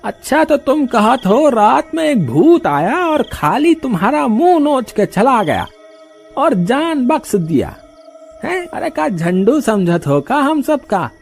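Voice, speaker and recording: A man voicing a rough, hot-tempered male villager, scolding sarcastically in a cartoon. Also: very high-pitched, sing-song, slow, a little mellow, soft background music, exaggerated voice. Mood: mocking. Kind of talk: cartoon voice acting.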